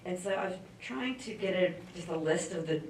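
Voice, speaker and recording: Female audience member, lowish voice, distant and echoey. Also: animated, brisk, a little hiss or hum. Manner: polite, slightly unsure. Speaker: audience member, film director. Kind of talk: audience question. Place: festival panel hall. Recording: echoey room, far from mic.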